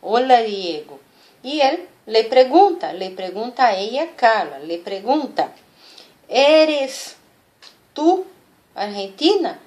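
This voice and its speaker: A woman with a Brazilian accent and Portuguese as her first language gives an informal language lesson. Her voice sits a little high and her delivery is markedly slow. She is didactic, repeating key words with emphasis and adding small checking questions. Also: big pitch swings, a little mellow. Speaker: Spanish language teacher.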